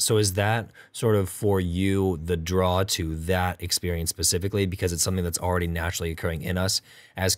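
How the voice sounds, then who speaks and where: A man hosting a podcast, raspy from a cold, asking halting questions full of fillers. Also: deep voice, dry recording. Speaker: podcast host. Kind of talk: interview questions.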